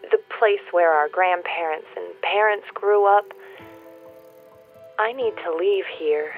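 A slightly muffled recording with faint music holds a young woman's voice, slow and heartfelt. She is a torn small-town dreamer in a radio play, halting as she yearns for more. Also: on the high side. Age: young adult.

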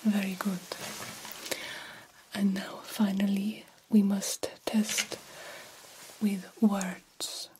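A woman whispering an ASMR roleplay as a vampire doctor, breathy, deliberate and gently instructive. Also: mid-range voice, level tone, background noise. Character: female vampire doctor tending a young patient. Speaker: ASMR performer.

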